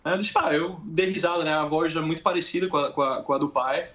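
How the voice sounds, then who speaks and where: A Brazilian man with a noticeably high voice answers as an interview guest. He talks colloquially and haltingly, often ending phrases with small tags. The audio is slightly dull and muffled. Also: a bit bright. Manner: colloquial, halting, frequent tag questions. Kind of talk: casual interview answers, anecdotes.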